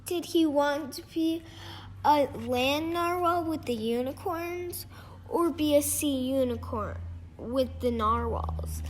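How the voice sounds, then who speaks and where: Female storybook reader, very high voice, slow and halting, rereads phrases as she goes. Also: a little hiss or hum. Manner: halting, stumbles over words and rereads phrases. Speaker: reader of a children's picture book. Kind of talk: storybook read-aloud.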